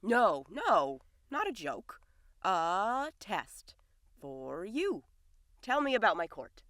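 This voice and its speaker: A woman's voice: mid-range voice, big pitch swings, slow pace, fairly bright tone, dry recording, studio quality. A woman playing a bewildered hunter posing as a king in an audio drama, hesitant and bluffing. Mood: confused.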